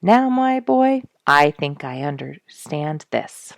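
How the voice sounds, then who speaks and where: A woman's voice: deep voice, very animated, slow pace, vocal fry, no room sound, heavily acted. A woman voicing a jovial, knowing woodsman in a storybook, with a hearty, teasing, emphatic manner. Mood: teasing concern. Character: jovial, knowledgeable woodsman, teasing his young friend.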